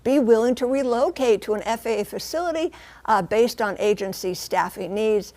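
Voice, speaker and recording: A woman with a somewhat high-pitched American voice delivers a broadcast news report. She phrases things deliberately and puts emphasis on key words, as a reporter explaining to viewers. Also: darkish tone.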